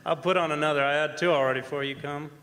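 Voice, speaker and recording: A man's voice: on the high side, steady tone, fairly quick, fairly bright tone, lightly acted. Man acting a folksy oilman in an echoing hall. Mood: easygoing, hospitable. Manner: colloquial, folksy. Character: folksy old-time oil rig roughneck, easygoing storyteller. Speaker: actor and narrator in a radio play. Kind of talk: stage radio drama acting. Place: performing arts center stage. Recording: reverberant hall, music behind.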